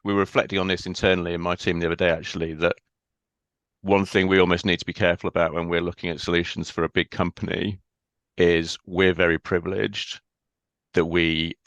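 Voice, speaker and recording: A man speaking as a podcast guest in a casual interview, thoughtful and measured, often pausing on "um". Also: sits low, fairly bright tone, no echo. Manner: thoughtful, measured, with frequent ums.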